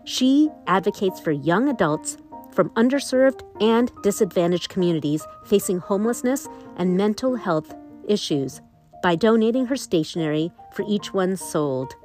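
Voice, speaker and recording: An upbeat woman hosts a podcast and reads her guest's introduction with plenty of enthusiasm. Her voice sits at a middle pitch, and soft music plays faintly underneath. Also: animated, moderate pace. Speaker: podcast host. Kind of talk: scripted intro and interview chat.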